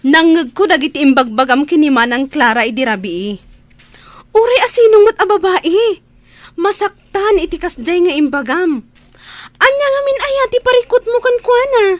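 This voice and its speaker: A woman plays an exasperated younger sister in a radio drama. Her very high, dry-recorded voice is blunt and reproachful, with an Ilocano accent. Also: big pitch swings, moderate pace, no echo.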